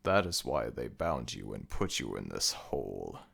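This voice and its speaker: A man playing an ancient, stern jackal god of the dead in an ASMR audio roleplay, speaking in an imperious, deliberate, menacing way. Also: very deep voice, sing-song, vocal fry, fairly bright tone, slightly rough, voice pushed down, full character voice. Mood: menacing, curious.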